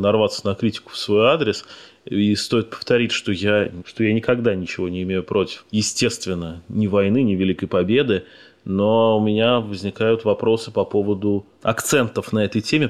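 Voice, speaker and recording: A man hosting a radio conversation, his voice sitting a little low. He speaks in a thoughtful, measured way and carefully qualifies what he says. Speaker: radio host. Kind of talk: radio talk interview.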